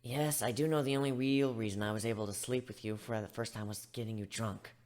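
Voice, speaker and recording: A man puts on a frank female griffin for a dramatic story reading. The pitch is mid-range. She talks bluntly and casually, teasing and persuading as she goes. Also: steady tone, brisk, darkish tone, close to an everyday voice. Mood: frank, coaxing.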